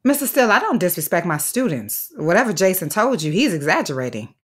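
An actress playing a dedicated, firm but anxious third-grade teacher in an audio drama, speaking in a measured, articulate, formal way. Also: female voice, lowish voice, sing-song, breathy edge.

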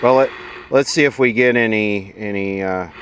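A man's voice: lowish voice, moderate pace, fairly bright tone, a little hiss or hum, poor audio. A man giving casual, off-the-cuff commentary as he looks over vintage electronics, with dry, sardonic asides. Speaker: vintage electronics repair host.

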